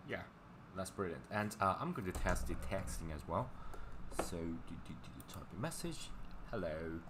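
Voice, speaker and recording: A man with a low-pitched voice and Chinese as his mother tongue talks as a language teacher on a livestream. His delivery is casual and halting, often circling back over the same words. Clear background noise runs through the recording. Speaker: language teacher live-streamer. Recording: clearly noisy livestream audio.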